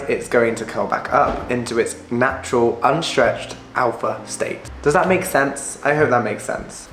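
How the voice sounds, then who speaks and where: Husky man, echoey room. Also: mid-range voice, fairly bright tone, a little hiss or hum. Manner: direct, explanatory, asks the viewer if it makes sense. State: husky from recovering flu. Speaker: hairstylist. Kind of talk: educational vlog.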